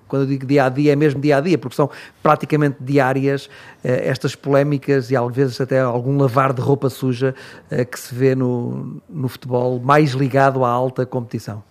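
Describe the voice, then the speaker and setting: A man with a European Portuguese accent hosts an interview at an ordinary, mid-range pitch. He asks long questions and pads them with frequent hesitant fillers. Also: flat delivery, moderate pace, creaky. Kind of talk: radio interview.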